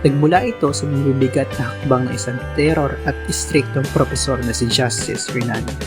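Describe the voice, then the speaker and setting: A man as radio DJ, narrating a radio drama introduction in a formal, measured way. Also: mid-range voice, music in the background.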